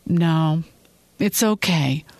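A narrator voicing a worried, frustrated husband who talks bluntly. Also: male voice, fairly high pitch, very slow, darkish tone, no echo. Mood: softened. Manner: anxious, then stern and blunt.

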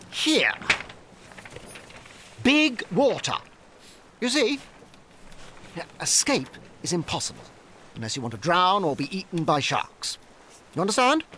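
A man acting a cold, condescending slave-trading ship captain in a radio drama, talking with slow emphasis. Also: high voice, pushed and bright, slight background noise, heavily acted.

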